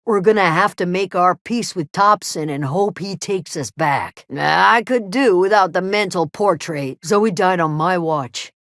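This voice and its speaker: A woman playing a gruff, guarded frontier settler who watches over a camp, terse and plain-spoken, in a video game. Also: lowish voice, unhurried, hardly any pauses, fairly bright tone, no echo, studio quality. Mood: troubled. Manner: terse, wary, plain-spoken with folksy drawn phrasing. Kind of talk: video game character dialogue.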